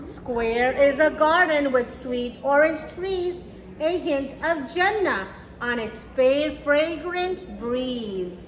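A woman's voice: sits high, lively intonation, slow pace. A woman reading aloud and teaching at children's storytime, speaking simply and encouragingly to young children. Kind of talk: read-aloud of a picture book for children. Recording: roomy echo with faint background noise.

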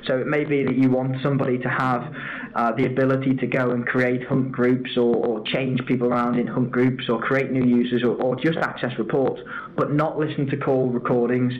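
A man's voice: mid-range voice, flat delivery, moderate pace. A man giving an informal webinar presentation as a product trainer, explaining step by step with examples. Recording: dull lo-fi audio with background noise.

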